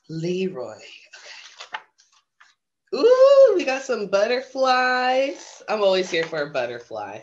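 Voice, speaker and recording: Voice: mid-range voice, muffled recording, a little hiss or hum. A woman reading a children's storybook casually, with playful, theatrical asides to the audience. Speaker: drag storytime guest reader. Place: video stream in front of a green screen.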